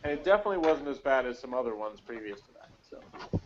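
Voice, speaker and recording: A man's voice: medium pitch, lively intonation, fast, a little hiss or hum. A man giving a casual, wry, rambling review as a panelist in a chat. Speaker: podcast panelist. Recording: dull lo-fi call audio.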